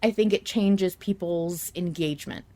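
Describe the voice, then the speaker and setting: A woman's voice: lowish voice, moderate pace, mellow. Informal, opinionated woman chatting. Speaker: podcast co-host. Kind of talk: casual podcast discussion of a fiction show.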